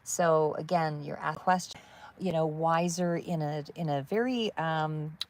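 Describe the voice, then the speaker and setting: Calm woman giving a tarot reading. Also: deep voice, unhurried. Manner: calm, soothing, meandering with fillers. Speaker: tarot reader.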